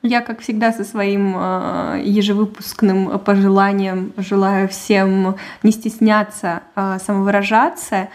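A woman co-hosting a casual podcast with a mid-pitched voice. She talks in an animated way, breaking into laughter often as she shares her experiences. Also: unhurried, few pauses. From Russian- and Ukrainian-speaking. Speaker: podcast co-host. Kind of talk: casual chat.

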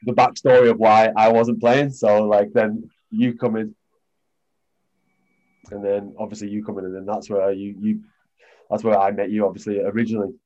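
A chatty man rambles through his questions, leaning on likes and you knows. His voice is low and mellow, and the recording sounds a bit dull. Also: level tone, normal speed. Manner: rambling, filler-heavy, chatty. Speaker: podcast host, former rugby player. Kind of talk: casual interview chat.

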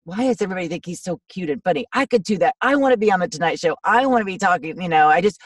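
A woman in her fifties with a low, raspy voice, chatting in a rambling, self-deprecating way as a voiceover actor guest in a podcast interview. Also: lively intonation, racing, no echo, clean studio sound, recorded from a distance. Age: 50s.

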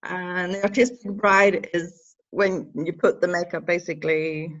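A Norwegian woman in her thirties, with an accent mixing Norwegian and Bristol English, speaking haltingly as an interview guest and laughing often. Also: fairly low pitch, flat delivery. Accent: Norwegian mixed with Bristol English. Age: 30s. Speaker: hair and makeup educator. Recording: dull lo-fi recording.

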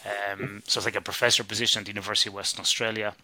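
Man, Irish accent, middle-aged, chatty, casual swearing, bright tone. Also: lowish voice, flat delivery, rapid-fire, no room sound, low-quality recording. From Ireland. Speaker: guest, sleep researcher. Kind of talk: casual podcast interview.

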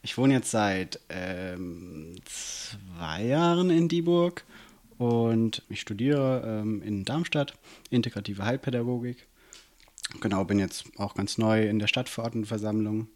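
Guest, man in his early 20s, lowish voice, casual and halting. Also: lively intonation, darkish tone. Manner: casual, repeats words when searching. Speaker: student, city council member, guest. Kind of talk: podcast interview.

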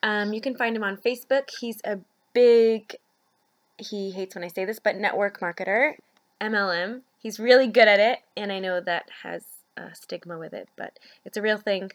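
A woman's voice: mid-range voice, normal speed, no room sound. A woman hosting a podcast, chatty and upbeat with frequent fillers, introducing her guest. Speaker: podcast host. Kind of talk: casual podcast interview.

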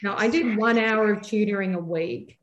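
An Australian woman in her forties, a podcast guest chatting casually, quick to joke and laugh at herself. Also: medium pitch, slight background noise. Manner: joking, self-deprecating, quick to laugh. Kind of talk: casual chat.

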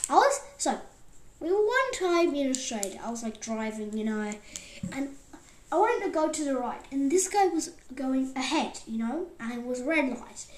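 A high-voiced speaker chatting casually as a show host, rambling and repeating phrases in an excitable, slangy way. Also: normal speed. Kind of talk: casual rambling podcast chat. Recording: echoey room.

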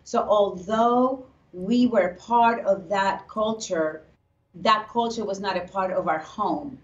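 A woman from Puerto Rico, a pastor's wife, talking earnestly about her faith as a guest in a casual interview. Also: medium pitch. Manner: earnest, faith-filled, speaks slowly with restarts. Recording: echoey, distant, dull remote audio.

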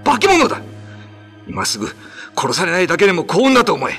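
A man's voice: high voice, huge pitch swings, quick pace, a bit bright, soft background music, voice pushed up, full character voice. A man playing a betrayed young husband, scornful and accusing, in a radio drama. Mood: furious, horrified. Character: betrayed husband, young man, furious and disgusted by monster wife.

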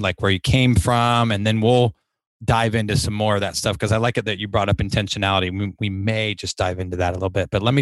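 A man's voice: lowish voice, dry recording. A man hosting a podcast interview, talkative and enthusiastic, rambling a little as he sets up questions. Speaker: podcast host. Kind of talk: casual interview chat.

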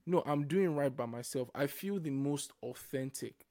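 A man's voice: mid-range voice, moderate pace, a little mellow, dry recording, studio quality. A young man from Nigeria with a Nigerian accent, co-hosting a casual faith-based podcast, reflective and often restarting his words. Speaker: podcast co-host.

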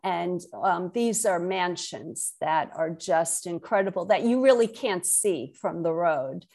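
A woman's voice: on the low side, expressive, unhurried, darkish tone. A middle-aged woman with a Brooklyn accent, speaking conversationally as an author and guest in an interview. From Brooklyn, New York.

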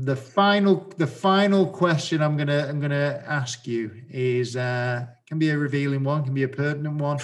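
A man hosting a podcast interview, asking questions while stumbling and repeating words. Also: fairly high pitch. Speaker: podcast host. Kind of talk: casual interview.

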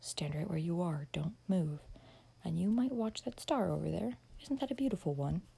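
A chivalrous young gentleman, voiced by a woman narrator, low and steady with a creaky edge. He speaks calmly and matter-of-factly, protective and a little curt, in storybook style. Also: normal speed. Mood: firm.

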